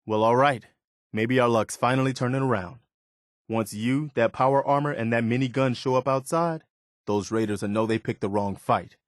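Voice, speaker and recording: An actor voicing an earnest, principled, loyal but weary militia officer in a video game, speaking in a sincere, measured, plain-spoken way. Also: male voice, medium pitch, no room sound, studio quality, natural voice. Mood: hopeful, encouraging. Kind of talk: video game character dialogue.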